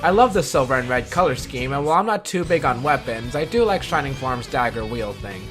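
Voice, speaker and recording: Male video host, mid-pitched, scripted list commentary with dry self-mocking asides, music underneath. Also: lively intonation, brisk. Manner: wry, self-deprecating asides.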